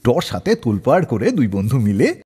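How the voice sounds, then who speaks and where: A male radio host tells stories in a dramatic style. His pitch swings very widely as he acts out dialogue, and his voice is rather husky. It sits in a long reverb. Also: a little fast. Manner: animated, acts out quoted dialogue. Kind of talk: dramatic radio storytelling between songs.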